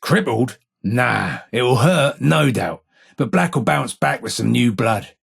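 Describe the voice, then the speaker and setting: An actor playing a blind, weary old London gang informer in a video game, with a London accent, a husky gravelly voice and measured, wry street talk. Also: male voice, mid-range voice, lively intonation, exaggerated voice. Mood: matter-of-fact. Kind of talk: video game character dialogue.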